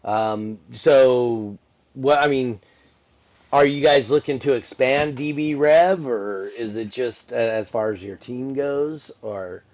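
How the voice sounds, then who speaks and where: A man's voice: mid-range voice, slow pace, a little mellow. A middle-aged man with a mid-range voice talks through a casual podcast chat, circling back on his words and tossing in "you know" constantly. The audio is a little muffled and dull. He sounds like a seasoned announcer talking off the cuff. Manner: rambling, full of restarts and "you know". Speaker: podcast host and event announcer.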